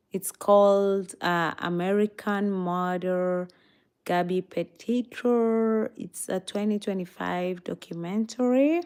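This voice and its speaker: Slow-paced tired young woman, casual livestream host chatting with viewers. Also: fairly low pitch, a little mellow, clean tone. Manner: reads out chat comments and greets viewers.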